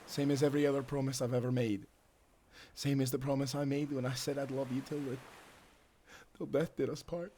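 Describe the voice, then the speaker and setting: An actor playing a tender, grieving old sailor with a Scottish accent in an audio drama. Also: male voice, mid-range voice, creaky, dark tone, a little hiss or hum, close to an everyday voice. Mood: tearful, tender.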